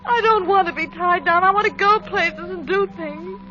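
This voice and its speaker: An actress playing a selfish, money-hungry wife, fearful and defiant, with a sharp, argumentative delivery in an old-time radio drama. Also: female voice, very high voice, moderate pace, muffled recording. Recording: band-limited vintage radio recording with music.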